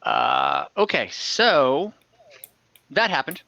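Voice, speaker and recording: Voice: mid-range voice, huge pitch swings, drawn-out words, vocal fry, sharp tone. A game master narrating an online tabletop roleplaying game in an improvised, conversational way. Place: online voice call. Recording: dull lo-fi online call audio.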